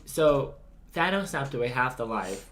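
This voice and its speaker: A teenage guy co-hosting a home podcast. He keeps things loose and jokey, in a middle-pitched voice. He often cuts across his friend as they talk. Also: sing-song, measured pace, a bit bright, a little hiss or hum. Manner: jokey, playful, often talks over his co-host. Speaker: podcast co-host. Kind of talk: casual chat.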